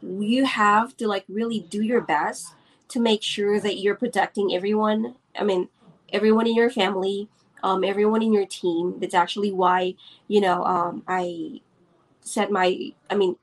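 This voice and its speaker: A woman's voice: mid-range voice, steady tone, moderate pace. Hesitant woman, business owner. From Philippines. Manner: hesitant, many fillers and restarts, laughs often. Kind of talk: casual interview.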